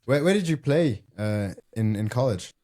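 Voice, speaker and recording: A man's voice: mid-range voice, lively intonation, normal speed, warm tone. A man from Germany, speaking, hosts a podcast interview in a hesitant way, searching for his words. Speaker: podcast host. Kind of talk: casual interview chat.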